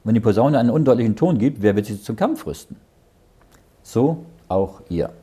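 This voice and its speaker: Male Bible teacher, middle-aged, husky and lively, reads verses and explains them, often asks "ja?". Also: medium pitch, moderate pace. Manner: reads verses aloud, then explains them in plain words; often checks understanding with "ja?".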